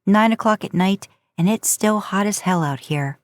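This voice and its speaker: A woman's voice: mid-range voice, normal speed, no echo. A woman reading a story aloud in an informal, conversational audiobook style. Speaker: podcast host narrating fan fiction.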